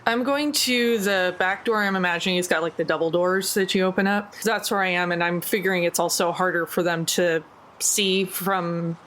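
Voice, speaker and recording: A woman's voice: on the low side, steady tone, moderate pace, a bit bright, a little hiss or hum. A woman playing a tabletop game, talking in an upbeat, playful way. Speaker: player.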